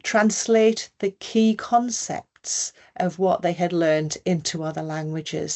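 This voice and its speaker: Low-voiced woman presenting on a webinar. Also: a little mellow. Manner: conversational, many fillers and restarts. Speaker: grief educator presenting on a webinar. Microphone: video call.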